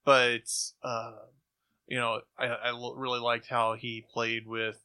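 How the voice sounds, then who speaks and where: Bright-voiced man giving casual opinions. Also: mid-range voice, monotone, slow, clean tone. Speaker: podcast co-host.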